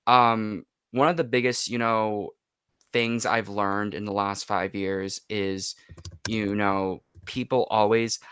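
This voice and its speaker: A man in his twenties with a smooth, forceful voice, recorded close and dry. He speaks as a guest in a candid chat, emotional and emphatic, often repeating a phrase to drive it home. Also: lowish voice, no echo. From United States. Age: 20s. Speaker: podcast guest, YouTuber and voice actor. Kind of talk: candid personal interview chat.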